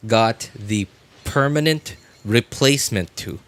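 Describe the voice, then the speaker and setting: A man chatting casually as he comments on gameplay on a live stream, rambling and often correcting himself in a halting way. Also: fairly low pitch, fairly bright tone. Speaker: game livestreamer.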